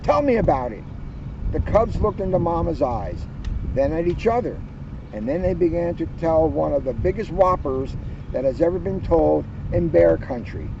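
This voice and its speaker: Male storybook reader, high register, playful emphatic delivery, slightly dull outdoor recording with vehicles behind. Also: lively intonation, normal speed, vocal fry. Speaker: police officer reading a children's book aloud. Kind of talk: read-aloud storytelling with joking asides. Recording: muffled, outdoors with vehicle noise. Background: outdoor ambience, passing cars.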